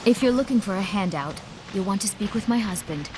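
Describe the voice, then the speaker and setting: A woman plays a curt fantasy game townswoman, unimpressed and dry. Her voice is mid-pitched, with clear noise behind it. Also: lively intonation, brisk, natural voice. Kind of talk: fantasy video game character acting.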